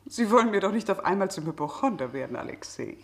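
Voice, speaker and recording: A woman's voice: medium pitch, lively intonation, quick pace, lightly acted. An actress playing a worldly middle-aged society lady in a 1960s German radio drama, playfully ironic and inquisitive. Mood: gently mocking.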